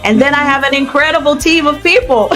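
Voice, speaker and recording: A woman's voice: high voice, a little fast, a bit bright, faint music behind. A woman gospel singer speaking as an interview guest in an earnest, testifying, conversational way, with an easy laugh.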